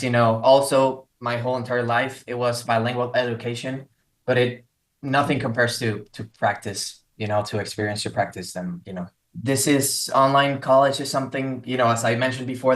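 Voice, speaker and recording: Young man, roomy sound. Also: mid-range voice. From Mexico. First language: Spanish. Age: 20s. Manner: relaxed, rambling with many fillers. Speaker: guest. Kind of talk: casual interview.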